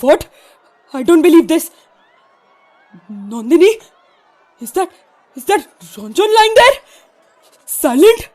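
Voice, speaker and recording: A woman's voice: extremely high pitch, very animated, slow pace, long pauses, a little mellow, exaggerated voice. A woman playing a loyal, indignant rebel worker in a theatrical audio drama. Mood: defiant, urgent. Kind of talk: theatrical stage-play acting in an audio drama.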